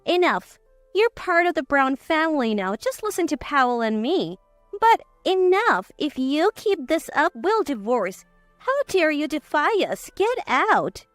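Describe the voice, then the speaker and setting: A woman's voice: high-pitched, very animated, measured pace, fairly bright tone, no echo, soft background music, heavily acted. A woman voicing a domineering, gloating older mother-in-law in a dramatized story, haughty and commanding. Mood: angry, commanding.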